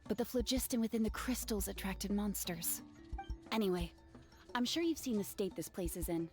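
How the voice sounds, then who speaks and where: A woman's voice: mid-range voice, animated, normal speed, darkish tone, soft background music, natural voice. A woman playing a friendly, earnest young gem artisan in a video game, telling her story expressively. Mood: earnest explaining. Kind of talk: video game character dialogue.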